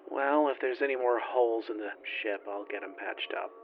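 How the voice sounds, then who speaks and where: A man playing a weary, bitter lone survivor, a spaceship flight engineer recording a log in an audio drama, brooding, halting and profane. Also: medium pitch, close to an everyday voice. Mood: bitter, resigned. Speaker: solo roleplaying player-narrator. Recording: dull, noisy radio-log sound.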